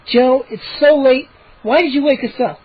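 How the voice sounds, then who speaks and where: A man's voice: very high voice, moderate pace, breathy edge, no room sound, voice pushed up. A man voicing a tired, exasperated mother who scolds her son in the middle of the night. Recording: dull lo-fi recording.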